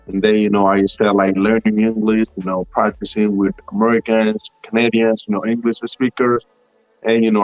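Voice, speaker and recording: A young Colombian man in his twenties talks in English with a Colombian Spanish accent, his voice sitting fairly low. He rambles in a loose, easygoing way, and the audio is muffled and lo-fi with faint music underneath. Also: no room sound. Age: 20s. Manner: relaxed, rambling, full of "like" and "you know". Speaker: tour guide, guest. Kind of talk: casual chat.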